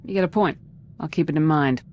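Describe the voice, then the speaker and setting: An actress playing a composed, confident young squad commander in a video game, speaking in a measured, formal, self-assured way. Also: female voice, low-pitched, a little mellow, a little hiss or hum, lightly acted. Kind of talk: video game dialogue acting. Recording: clear background music under the voice.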